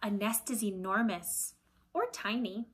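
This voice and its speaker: A woman in her thirties reading aloud to children like a teacher, deliberate and expressive, with a breathy voice that often drops to a hush. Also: mid-range voice. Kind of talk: children's picture-book read-aloud. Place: small indoor room at home with shelves.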